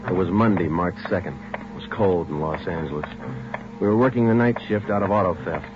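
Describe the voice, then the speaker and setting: An actor playing a terse, matter-of-fact detective sergeant in a 1940s radio crime drama, with a clipped, deadpan delivery. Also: male voice, low voice, brisk, music in the background, lightly acted. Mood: flat, businesslike. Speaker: narrator and lead actor. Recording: dull, noisy old radio recording.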